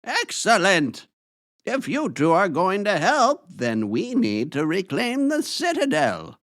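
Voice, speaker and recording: A man playing a grave, theatrical old wizard in a fantasy drama, speaking in deliberate pronouncements. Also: high-pitched, huge pitch swings, fairly bright tone, dry recording, clean studio sound, heavily acted. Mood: commanding. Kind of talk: fantasy audio drama acting.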